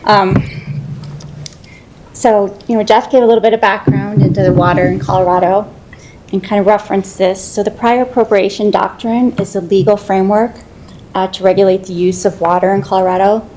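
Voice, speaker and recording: A low-voiced woman, a water resources manager, presents to a city council. She explains steadily and uses uh and um often. A faint rumble lies under the recording. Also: fairly even intonation, a little fast, darkish tone, poor audio.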